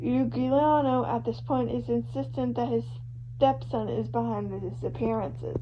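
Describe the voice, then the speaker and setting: A woman reading fan fiction aloud in an informal amateur style, animated as she moves between narration and dialogue. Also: a little high, moderate pace. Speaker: amateur podfic reader. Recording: muffled lo-fi home recording with hum, hiss.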